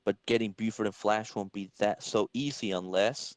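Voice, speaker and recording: A man's voice: lowish voice, normal speed, dry recording, natural voice. Level-headed wisecracking hero, read by a man, casual and wry, dry close recording. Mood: calm, wry. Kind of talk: dramatic comic-book reading.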